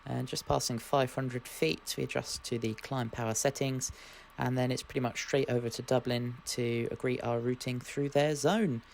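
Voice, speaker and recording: A man's voice: medium pitch, fairly even intonation, moderate pace, gravelly, hardly any pauses, slight background noise, poor audio. A man narrating his flight as a pilot in a vlog voice-over.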